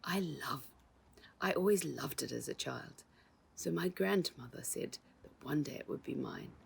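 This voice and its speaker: A woman's voice: low-pitched, unhurried, creaky, a little hiss or hum, exaggerated voice. A woman voicing an elegant, gracious lady visitor in a storybook, chatty, genteel and confiding. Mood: warm, chatty.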